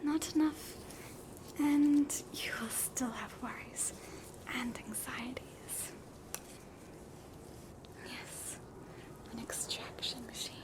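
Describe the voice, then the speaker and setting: A woman with an Australian accent whispering a gentle, reassuring ASMR roleplay as a spooky hospital doctor. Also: high-pitched, takes it slowly, pauses often, poor audio. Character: soothing, spooky hospital doctor treating hauntings. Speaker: ASMR performer playing a doctor.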